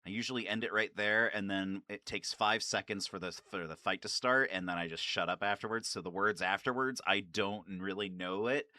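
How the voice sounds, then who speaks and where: A man with a low, bright-edged voice chats as a gaming creator. He rambles enthusiastically and swears casually. The sound is very dry, with no room to it. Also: normal speed, few pauses, no echo. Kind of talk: casual, nerdy commentary on favorite game lines.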